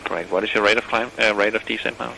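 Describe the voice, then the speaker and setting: A man's voice: fairly low pitch, fairly even intonation, quick pace, vocal fry. A man working as an air traffic controller, giving clipped, procedural radio instructions with courteous sign-offs. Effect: radio transmission. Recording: air traffic radio recording, faint noise.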